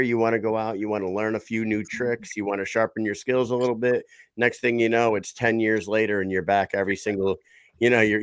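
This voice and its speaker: A man's voice: on the low side, a bit bright, clear, resonant tone, no room sound, clean studio sound. A man hosting a casual fight-talk show, chatty and rambling, often restarting his sentences. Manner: chatty, rambling, self-correcting. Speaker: host.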